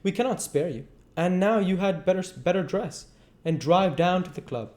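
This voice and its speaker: Man playing a witty gentleman lord, raised pitch, flattering, persuasive, emphatic, storybook dialogue. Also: normal speed, lightly acted. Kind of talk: storybook dialogue read aloud.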